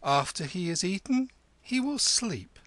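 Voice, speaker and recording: A man voicing a cocky, quick-witted boy in a storybook, with a lofty, taunting manner. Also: fairly high pitch, big pitch swings, a bit bright, no room sound, voice pushed up, full character voice. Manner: taunting, loftily self-assured. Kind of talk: storybook character voice.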